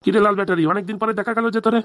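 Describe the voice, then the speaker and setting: A man doing a rapid-fire older village father, cartoon. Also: sits high, fairly even intonation, fairly bright tone, no echo, clean studio sound. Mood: excited. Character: older village father, easygoing, eager to marry off daughter. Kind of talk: fast comic cartoon dialogue.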